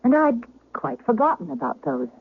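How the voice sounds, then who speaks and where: An anxious, placating noblewoman in a 1970s radio play. She speaks in a high, swooping, theatrical voice with genteel politeness. The recording is old and phone-thin. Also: big pitch swings, normal speed. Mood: coaxing, anxious. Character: refined, anxiously placating duchess and wife. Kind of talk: 1970s radio drama acting. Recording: tinny, narrow-band old radio recording, faint noise.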